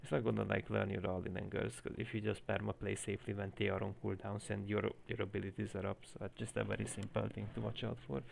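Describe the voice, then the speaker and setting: A man's voice: lowish voice, little pitch movement, fast, gravelly, few pauses, a little hiss or hum. A man with a Hungarian accent coaching a player live on stream, explaining at length with many fillers. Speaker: game coach.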